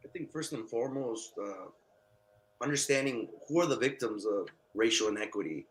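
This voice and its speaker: A man whose first language is Spanish, answering as a candidate at an online forum, earnest and halting with many fillers. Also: medium pitch, lively intonation, rough recording. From United States. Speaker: political candidate.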